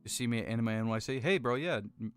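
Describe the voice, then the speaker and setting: An American man with a deep voice chatting casually and rambling a little as he hosts a livestream on a close desk microphone. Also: quick pace, soft background music. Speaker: livestream host building model kits. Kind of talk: casual chat with live viewers. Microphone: close desk condenser microphone.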